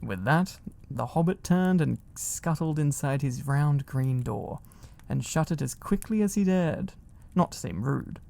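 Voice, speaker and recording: Mellow, creaky man reading a bedtime story. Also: on the high side, animated, measured pace. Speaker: ASMR narrator. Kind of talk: soft storybook reading, ASMR.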